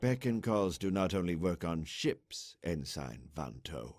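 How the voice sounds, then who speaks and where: An actor playing a calm, calculating alien naval lieutenant who speaks in a measured, formal, commanding way in an audio comic dub. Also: male voice, deep voice.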